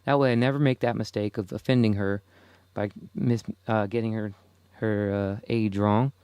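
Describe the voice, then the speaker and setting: A man's voice: on the low side, steady tone, normal speed, a little mellow, smooth, no room sound. A man in his forties hosting a solo podcast, rambling casually and chuckling to himself between thoughts. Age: 40s. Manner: rambling, self-amused, chuckles often. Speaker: podcast host.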